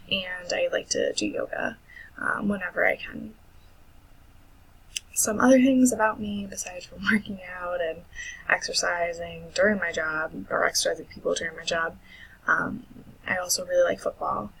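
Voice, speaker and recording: Breathy, creaky young American woman. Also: lowish voice, sharp tone, poor audio. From United States. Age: 20s. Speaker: student introducing herself. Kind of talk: casual self-introduction.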